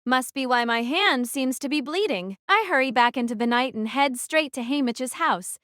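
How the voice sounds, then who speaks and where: An actress plays a young woman who survived a deadly arena, telling her story in a high voice. She is introspective, troubled and defiant, hard on herself. The audio drama recording is close and dry. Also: normal speed, pushed and bright, dry recording. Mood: anguished, resolving. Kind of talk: first-person audio drama narration.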